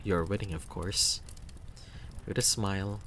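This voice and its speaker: A man's voice: deep voice, fairly even intonation, a little slow, a bit bright, slight background noise. A man reading scripted narration from a story game aloud.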